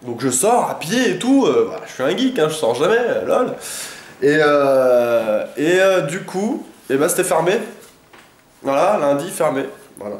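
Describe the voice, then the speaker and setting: A man's voice: a little high, very animated, a little slow. Chatty young man with a stuffy cold. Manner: rambling and chatty, lots of hesitations and slang. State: congested with a head cold. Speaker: vlogger. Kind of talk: casual daily vlog chat. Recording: echoey room.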